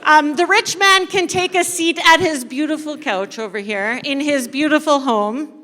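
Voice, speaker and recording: A woman leading an interactive storytelling sermon for a church congregation, playful and speaking directly to her listeners with dramatic pauses. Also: high voice, bright, forceful tone. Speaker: kids ministry coordinator leading a church service. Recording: echoey hall with long reverb.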